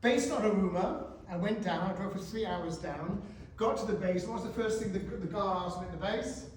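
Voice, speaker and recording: A man in his forties speaks to a room of teenagers, often stopping to put questions to them. His voice sits fairly high, and he is picked up from a distance, so the big hall echo swallows his words. A faint murmur of room noise hangs underneath. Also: normal speed. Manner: animated, asks the audience questions. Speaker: guest speaker. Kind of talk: school talk to teenagers. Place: school hall. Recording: echoey hall, speaker far from mic.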